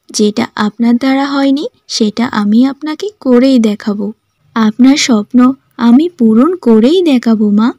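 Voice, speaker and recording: A woman's voice: on the high side, mellow, dry recording, clean studio sound, close to an everyday voice. A woman playing a devoted, determined young daughter-in-law in earnest cartoon dialogue.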